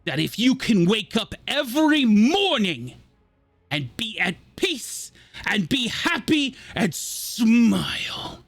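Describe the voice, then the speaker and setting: Man playing a slow, gravelly, grandiose villain. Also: sits high, very animated, a bit bright, no room sound, soft background music, heavily acted. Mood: defiant. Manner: grandiose, deliberate, impassioned speechifying. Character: bitter, theatrical villain justifying his fall from hero. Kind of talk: dramatic in-character monologue in a tabletop roleplay.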